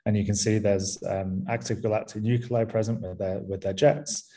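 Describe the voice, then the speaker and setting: A man with a Manchester-area English accent gives a conference talk from a small room over a webcam. His voice sits low and sounds mellow, and his delivery is relaxed, full of ums and small restarts. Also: moderate pace. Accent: Mancunian English. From England, near Manchester. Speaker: university lecturer.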